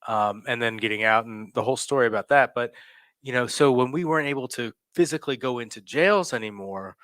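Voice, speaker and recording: A man's voice: mid-range voice, a little fast, a bit bright, no room sound. A man in his forties hosting a podcast, chatting casually, rambling and correcting himself, laughing often. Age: 40s. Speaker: podcast host. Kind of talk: casual chat.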